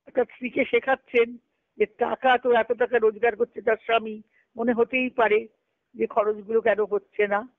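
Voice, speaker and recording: A woman's voice: a little high, little pitch movement, normal speed, dry recording. A woman on a tinny conference call, reading letters aloud and explaining them didactically as she repeats phrases. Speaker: spiritual discussion speaker. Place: speaking on a group conference call. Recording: tinny, band-limited conference phone line.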